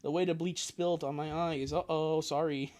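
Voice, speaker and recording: A man's voice: fairly high pitch, steady tone, moderate pace, a little mellow. A man chatting casually on a livestream as an amateur impressionist, rambling and stammering with jokey asides. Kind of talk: casual livestream chat and reading aloud.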